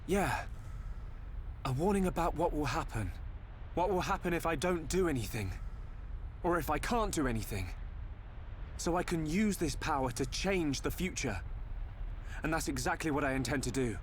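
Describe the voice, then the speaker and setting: A man's voice: on the high side, expressive, moderate pace, pauses often, slight background noise, lightly acted. An actor playing an earnest, determined young male hero in a video game cutscene. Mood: earnest resolve. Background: faint game soundtrack music.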